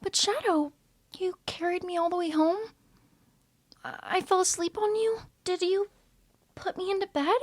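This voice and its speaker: A woman voicing a timid, sensitive young male cat who talks softly, in a wavering, breathy way. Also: extremely high pitch, steady tone, moderate pace, pauses often, no echo, pitched above the performer's own voice. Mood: meek bewildered.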